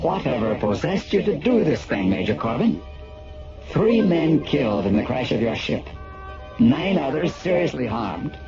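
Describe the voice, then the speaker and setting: An actor playing a stern, scornful alien authority in a radio drama, speaking in a grave, measured, formal manner. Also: male voice, on the high side, sing-song. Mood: stern, accusing. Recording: dull lo-fi radio drama, music behind.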